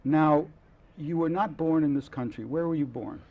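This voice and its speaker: A man's voice: a little high, narrow, tinny audio. An older man hosting a studio interview, hesitant, with frequent fillers and restarts. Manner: halting, many fillers and restarts, admiring. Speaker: TV interview host. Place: dark TV studio set. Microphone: lapel mic.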